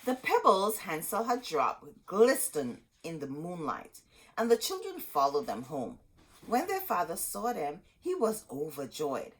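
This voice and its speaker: A woman's voice: on the low side, breathy edge, low-quality recording. A woman hosting a children's storytime, reading a picture book aloud expressively and talking directly to young listeners. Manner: animated, deliberate, speaking directly to young listeners.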